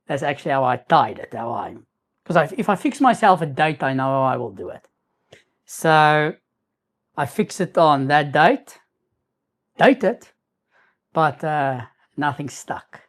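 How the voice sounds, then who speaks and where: Man telling a rambling story. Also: fairly high pitch. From South Africa. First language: Afrikaans. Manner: rambling, restarts, sprinkles in Hebrew terms. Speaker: YouTuber. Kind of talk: casual storytelling to camera.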